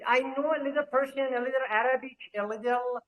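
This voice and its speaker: A man's voice: very high voice, steady tone, fast, dull audio. Hesitant man full of filler sounds. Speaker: crypto project representative. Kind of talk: live online AMA answers.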